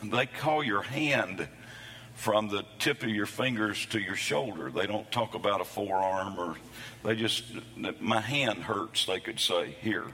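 A man preaching a sermon, his voice hoarse from losing it between services, with a folksy, self-deprecating humor. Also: fairly low pitch, measured pace, bright, forceful tone, big-room sound. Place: church sanctuary.

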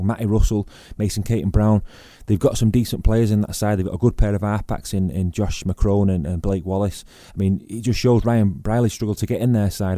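A man giving rugby league punditry in quick, jokey, casual chat with plenty of fillers. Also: on the low side, warm tone, no room sound, clean studio sound.

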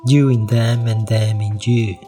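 A man reads a scene-setting introduction deliberately, inviting the listener to imagine. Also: lowish voice, steady tone, dark tone, faint music behind. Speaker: podcast introducer.

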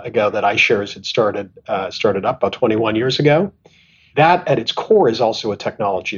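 A middle-aged man from Canada speaks in a husky, somewhat breathy voice, recounting his career with self-deprecating asides. He pauses and restarts often as he thinks. The recording sounds dull and low-fidelity, as if from a remote call. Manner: thoughtful, self-deprecating, frequent restarts and fillers. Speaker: asset management executive, guest. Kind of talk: conversational interview storytelling.

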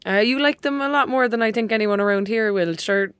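A woman's voice: on the high side, fairly quick, fairly bright tone, smooth, no echo, lightly acted. A woman with a rural Irish accent playing a blunt, grumbling, mischievous young girl in an audio drama. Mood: dismissive, impatient. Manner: grumbling, teasing.

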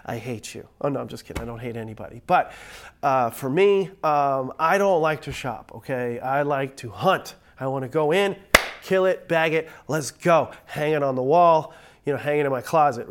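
Pastor, male, middle-aged, slightly higher pitch, stuffy-nosed from a cold, chatty and playful. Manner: upbeat, joking, conversational. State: nasal from a cold. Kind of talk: pre-recorded online church message.

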